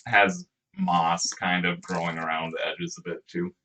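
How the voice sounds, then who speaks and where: A game master narrating a tabletop role-playing game in a halting, conversational way. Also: low voice, fairly even intonation, bright, forceful tone. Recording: distant microphone.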